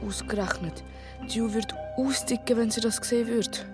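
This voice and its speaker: A woman's voice: a little high, moderate pace, over loud music, lightly acted. A woman with a Swiss German accent playing a bewildered young boy lost in time. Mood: dismayed.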